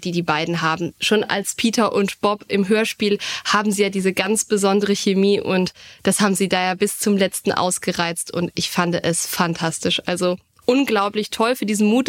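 A woman's voice: mid-range voice, dry recording, clean studio sound. A woman chatting casually and enthusiastically as a podcast co-host, with a gushing way of talking.

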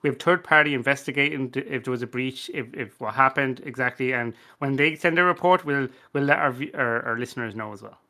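A man in casual podcast banter, talking excitably and often repeating himself. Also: mid-range voice, fast, few pauses. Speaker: podcast panelist. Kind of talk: casual bantering group chat.

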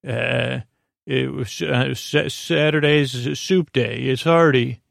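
A man with a creaky, gravelly voice hosting a sleep podcast, rambling hesitantly through a bedtime monologue, stammering and drifting into tangents. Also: medium pitch, steady tone, takes it slowly, dry recording, studio quality.

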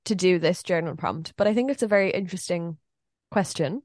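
A woman co-hosting a podcast in a chatty, giggly way, her voice a little deeper than usual after a night out. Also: a little fast, a little mellow, no room sound, studio quality. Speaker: podcast co-host. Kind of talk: casual chat. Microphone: podcast microphone.

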